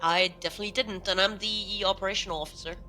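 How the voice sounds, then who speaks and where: An exasperated female officer played by a woman with a bright, forceful voice at a medium pitch. She is insistent and distrustful, complaining in a sci-fi drama over faint music. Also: fairly even intonation, fast talker, natural voice.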